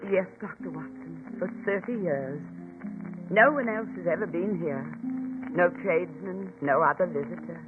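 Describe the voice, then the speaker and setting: An actress playing a guarded, genteel elderly spinster in a 1940s radio drama, speaking formally and with measure. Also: female voice, fairly low pitch, normal speed, natural voice. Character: guarded elderly spinster, protective older-seeming sister. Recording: tinny old radio broadcast, music behind.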